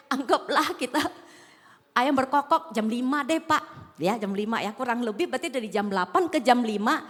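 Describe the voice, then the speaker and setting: A woman pastor with a slight Javanese accent preaches to a congregation in a large auditorium. Her voice sits fairly high, and she keeps putting questions to her listeners. A long reverb from the hall trails behind her words. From Sumatra, Indonesia. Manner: conversational, asks the congregation questions. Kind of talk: sermon. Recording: echoing hall.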